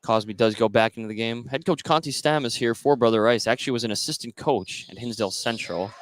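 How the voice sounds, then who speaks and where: A man calling live play-by-play of a high school basketball game for radio. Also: fast talker. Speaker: play-by-play commentator. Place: courtside at an indoor basketball game.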